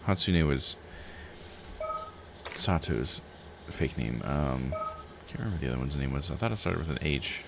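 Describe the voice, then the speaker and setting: A man's voice: extremely low pitch, expressive, moderate pace, vocal fry, background noise. A man giving casual live game commentary, thinking aloud in a musing, self-questioning way.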